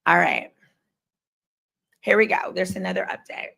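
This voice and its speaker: A woman's voice: lowish voice, fairly even intonation, measured pace, vocal fry, sharp tone, breathy edge. A woman hosting a casual livestream, giving informal, opinionated commentary and reading comments and updates aloud in a conversational way. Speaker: livestream host.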